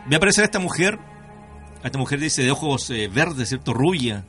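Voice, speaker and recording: A man hosting a radio show, talking conversationally and haltingly, often checking with tag questions. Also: medium pitch, very animated, a bit bright, soft background music. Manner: halting, repeats words, seeks confirmation with tag questions.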